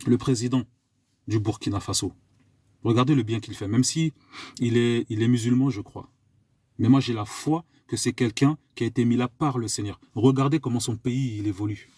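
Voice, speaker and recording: A man from France delivers an exhorting sermon, hammering home his point with repeated rhetorical questions. His voice is slightly husky and of middle pitch, recorded dry and close with almost no room sound. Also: moderate pace, a little mellow, dry recording. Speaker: preacher. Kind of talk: live online sermon.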